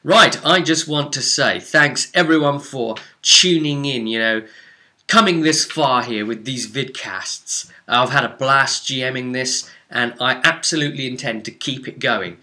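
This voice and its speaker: A man's voice: medium pitch, a little slow, sharp tone. A man chatting casually and emphatically as a game master recapping a tabletop roleplay campaign on his vlog, with an enthusiastic manner. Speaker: game master presenting a vidcast.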